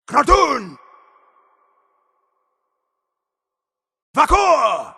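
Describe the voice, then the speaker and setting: An actor playing an arrogant, imperious male high elf in a fantasy video game, with a condescending, clipped manner. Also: slightly rough, dry recording, clean studio sound.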